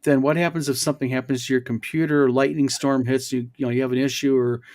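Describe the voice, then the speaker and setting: A man's voice: medium pitch, little pitch movement, quick pace, a loud crowd. A man in his fifties hosting a casual chat show, explaining his points at length. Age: 50s. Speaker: host.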